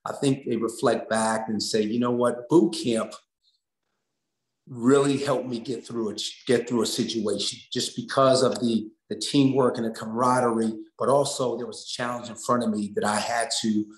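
A man's voice: medium pitch, steady tone, normal speed. A middle-aged American man, a coach giving earnest, encouraging answers in a conversational interview over a video call. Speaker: interview guest, assistant basketball coach. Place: small indoor room on a video call. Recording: roomy, echoing room sound.

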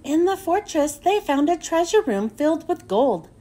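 A woman's voice: very high voice, big pitch swings, normal speed, mellow. A woman reads a children's storybook aloud, phrasing carefully and expressively for young listeners. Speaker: children's book reader and host.

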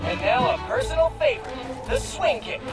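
An upbeat hero mentor in a video game. He explains each step in an instructive way while loud music plays behind him. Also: very high voice, expressive, a little fast. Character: upbeat male superhero mentor as recorded training guide.

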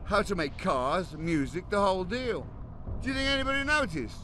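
Cryptic heavy-metal guardian for a game, high-voiced and rambling, faint noise behind. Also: male voice, lively intonation, normal speed, bright, forceful tone. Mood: cryptic, musing. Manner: rambling, theatrical, swearing freely. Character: cagey rambling old male guardian of metal secrets. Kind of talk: video game cutscene acting.

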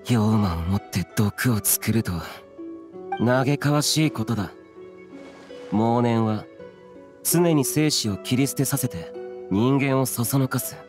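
A man's voice: medium pitch, expressive, slow pace, pauses often. A man voicing a solemn, terse immortal guardian in a video game, making grave pronouncements in archaic wording. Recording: background music under the voice.

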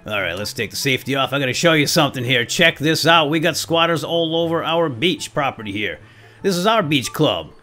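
A man hosting gameplay with excitable role-play commentary, playful and given to exclamations and quick bursts of repeated words. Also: fairly high pitch, faint music behind. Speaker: gaming video host.

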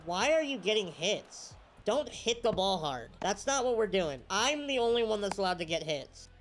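A young man on a headset talks his way through a baseball game, bursting into shouts at every play. His voice is a little stuffed up, and game music plays clearly underneath. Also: high voice, moderate pace. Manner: excitable, yelling at the game, slangy "bro". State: congested, slight cough. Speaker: streamer. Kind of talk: casual gameplay commentary. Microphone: headset mic.

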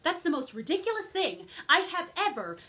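A woman's voice: extremely high pitch, very animated, moderate pace, bright, forceful tone, pitched above the performer's own voice, heavily acted. Woman as a grumbling fairy godmother. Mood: aggrieved. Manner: chatty, complaining, indignant. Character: grumbling middle-aged female fairy godmother, put-upon. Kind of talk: comic monologue.

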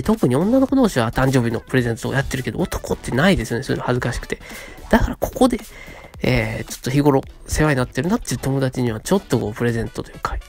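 A man of about thirty, telling stories and pitching ideas as a radio co-host in a polite, conversational way. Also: darkish tone. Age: around 30. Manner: polite, conversational, acts out short quotes. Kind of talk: casual monologue, storytelling and pitching ideas. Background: background music.

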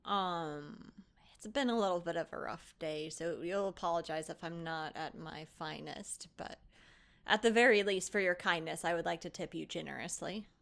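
A woman with a fairly low voice and a lot of vocal fry. She roleplays casually as a player in a tabletop game. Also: normal speed, a bit bright.

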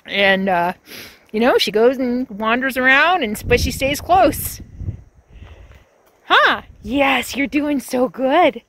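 A woman's voice: sits high, lively intonation, takes it slowly, a bit bright, a little hiss or hum. Chatty woman vlogging outdoors on a phone. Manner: chatty, exclaiming, talks sweetly to her dog. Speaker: RV travel vlogger. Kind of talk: casual vlog chat to camera and dog. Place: outdoors in windy high desert and inside an RV. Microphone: phone camera at arm's length.

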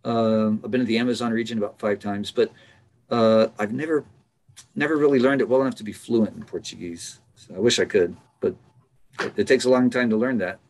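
A middle-aged man, a biologist, talking casually as an interview guest over a video call from his office, relaxed and laughing often. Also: lowish voice, brisk. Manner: relaxed, chatty, laughs often, some restarts. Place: office with bookshelf. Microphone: video call webcam.

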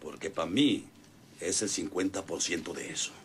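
A man's voice: low voice, normal speed, fairly bright tone, a little hiss or hum. A man acting a boastful, bossy ranch boss in an old-fashioned radio drama, with a rural northern Mexican accent and folksy, domineering manner. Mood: scheming.

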